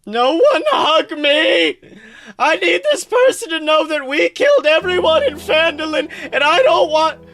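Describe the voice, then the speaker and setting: A man's voice: very high-pitched, big pitch swings, unhurried, a bit bright, pitched above the performer's own voice, full character voice. A man voicing a panicked, babbling male adventurer in a tabletop game, stammering frantically. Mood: frantic.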